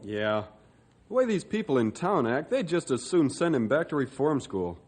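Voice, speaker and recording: An actor playing a wry, good-natured sidekick in an old-time radio western. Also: male voice, mid-range voice, very animated, normal speed, darkish tone, breathy edge. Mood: conversational.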